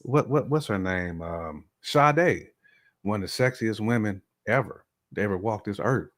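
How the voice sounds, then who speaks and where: Low-voiced American man, blunt and slangy. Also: lively intonation, unhurried. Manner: slangy, blunt, emphatic, repeats phrases. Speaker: podcast co-host. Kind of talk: casual opinionated relationship chat.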